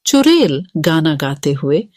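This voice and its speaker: A woman's voice: low-pitched, big pitch swings, takes it slowly, a little mellow, no echo, studio quality. A woman narrating a scripted cartoon story as the storyteller.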